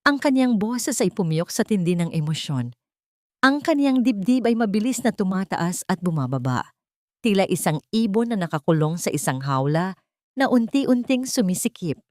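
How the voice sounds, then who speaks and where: A woman's voice: mid-range voice, big pitch swings, normal speed, darkish tone, dry recording, clean studio sound. A woman narrating an audiobook, reading a scripted story in a dramatic style.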